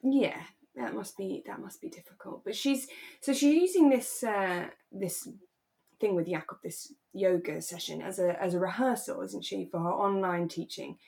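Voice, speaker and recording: A woman co-hosting a podcast, talking casually and giving her opinions gently, with hesitant restarts. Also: medium pitch, moderate pace, creaky. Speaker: podcast co-host. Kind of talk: casual chat reviewing a radio drama.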